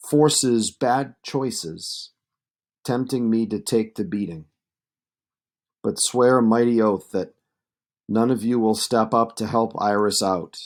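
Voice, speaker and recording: A man voicing a cunning, stern old beggar hero in an epic read aloud, measured and deliberate. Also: lowish voice.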